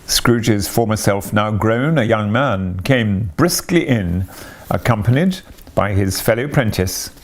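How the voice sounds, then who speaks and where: A man reading a classic story aloud as expressive narration, with theatrical, deliberate phrasing. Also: fairly low pitch. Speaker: audiobook reader.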